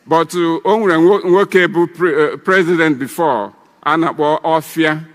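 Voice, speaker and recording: A man's voice: fairly high pitch, a little slow, big-room sound. A man in his eighties with a Nigerian Igbo accent, giving a ceremonial speech as an elder breaking kola nut. He rambles and repeats himself. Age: 80s.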